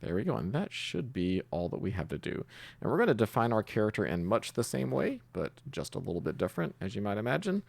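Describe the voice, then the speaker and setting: Man, tutorial host, very low voice, creaky fry, casual explaining, halting think-aloud delivery. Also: big pitch swings, no room sound. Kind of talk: casual screencast coding tutorial, explaining while typing.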